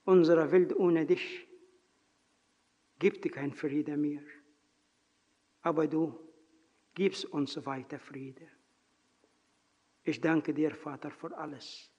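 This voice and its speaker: A man with a non-native German accent preaching earnestly in a church, telling a personal story in halting phrasing and then praying. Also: fairly high pitch, steady tone, mellow, breathy edge. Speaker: lay preacher. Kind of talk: sermon with personal story and prayer. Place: church hall. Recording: reverberant hall, dull lo-fi sound.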